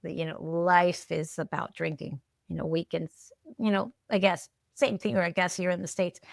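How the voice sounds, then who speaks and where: A woman's voice: fairly low pitch, steady tone, creaky, dry recording, clean studio sound. A middle-aged woman chatting casually as a podcast guest, restarting phrases often and checking in with little tags as she reflects. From Peru, moved there at ten. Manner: halting, repeats words, often checks in with "right?" and "you know". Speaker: podcast guest, alcohol-free coach. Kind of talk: casual, reflective interview talk.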